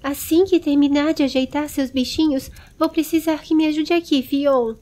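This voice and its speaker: A woman's voice: sits high, steady tone, moderate pace, mellow, voice pushed up, close to an everyday voice. A Brazilian woman voicing a commanding, protective lady with firm maternal authority in a dramatized fantasy audiobook. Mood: brisk.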